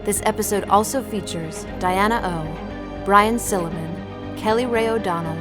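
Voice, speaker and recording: A woman reading scripted credits as an announcer, measured and neutral. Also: medium pitch, over music.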